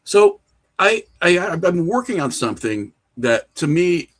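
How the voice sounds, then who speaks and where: A man's voice: high-pitched, sing-song, unhurried. Middle-aged man, opinionated and rambling. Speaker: podcast guest, horror filmmaker and writer. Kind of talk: casual interview conversation.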